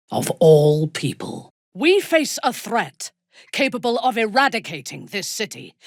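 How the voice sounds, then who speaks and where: A ruthless female drow commander for a fantasy video game. Her voice is low and husky, haughty and menacing, and she takes her time while swinging widely in pitch. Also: dry recording, clean studio sound, exaggerated voice. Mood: imperious, intense. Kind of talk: dramatic fantasy game acting.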